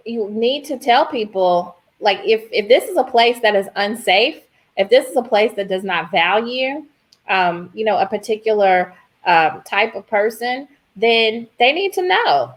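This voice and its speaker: A woman speaking as a guest professor in an informal discussion, with a candid, deliberate and emphatic manner. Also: on the high side, a bit bright.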